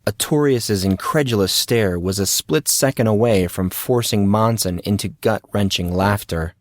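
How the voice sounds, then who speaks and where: A man's voice: lowish voice, moderate pace, dry recording. Dry-recorded man narrating fiction.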